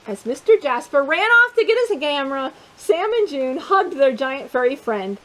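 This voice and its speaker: A woman hosting storytime, reading a picture book aloud to children in an expressive way, with chatty asides and questions to the listeners. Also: high voice, normal speed, slight background noise, poor audio.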